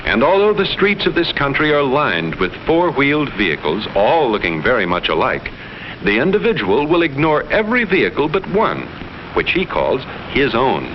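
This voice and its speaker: An actor playing a detached alien explorer, reporting on human life in formal, measured 1950s educational film narration. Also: male voice, mid-range voice, wildly swooping pitch, a little hiss or hum. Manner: formal, measured, clinical phrasing. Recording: muffled vintage film sound, music behind. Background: loud music.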